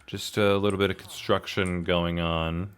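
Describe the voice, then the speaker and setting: A man talks his way through a game. His delivery is casual and slangy, with self-deprecating asides and exclamations, as if thinking out loud for his viewers. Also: sits low, flat delivery, normal speed, slight background noise. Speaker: gaming video host. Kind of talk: casual gameplay commentary, talking to himself.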